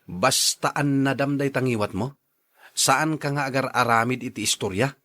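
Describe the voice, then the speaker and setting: A man's voice: mid-range voice, moderate pace, pushed and bright, natural voice. An actor playing a blunt, exasperated man who questions a gossip in a radio drama. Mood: challenging.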